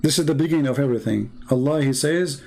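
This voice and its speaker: A man's voice: medium pitch, quick pace, gravelly, a little mellow. A man with an Arabic accent, speaking English as a second language, giving argumentative commentary full of sarcastic rhetorical questions. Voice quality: broken, non-native grammar. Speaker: religious debate commentator. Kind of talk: polemical commentary.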